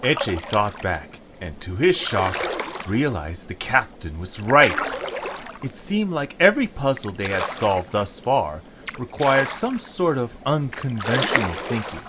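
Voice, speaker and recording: A man with a fairly low voice narrates a story from a script. The recording has clear background noise behind him. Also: very animated, a little slow. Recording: noisy home recording.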